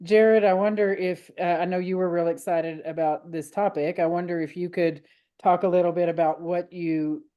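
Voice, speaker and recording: A woman's voice: fairly low pitch, fairly even intonation, normal speed, muffled recording. A woman hosting a church discussion group, encouraging others to speak while stumbling through many fillers. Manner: warm, encouraging, hesitant with fillers. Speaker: discussion host.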